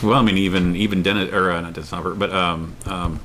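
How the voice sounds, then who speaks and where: A man's voice: low voice, steady tone, quick pace. A man co-hosting a discussion show, talking casually and emphatically as he thinks aloud. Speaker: co-host of a pop culture discussion show. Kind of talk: casual opinionated chat. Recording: noticeable background noise and room ambience.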